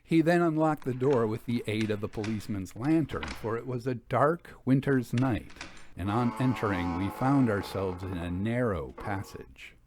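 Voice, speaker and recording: A man reading a Victorian ghost story as scripted narration, in a measured storytelling delivery. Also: medium pitch, background noise. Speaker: audiobook reader.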